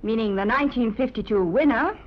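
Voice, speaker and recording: A woman's voice: a little high, fairly quick, lo-fi sound. A young woman presenting a scripted 1950s youth radio show, on an old broadcast recording. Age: young adult.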